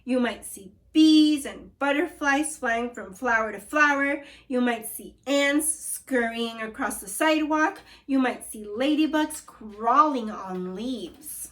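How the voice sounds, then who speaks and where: A woman's voice: a little high, a bit bright. A woman teacher reading a nonfiction book aloud to young children and asking them questions. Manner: slow, deliberate, addresses kids as scholars. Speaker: second grade teacher. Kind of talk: read-aloud lesson for children.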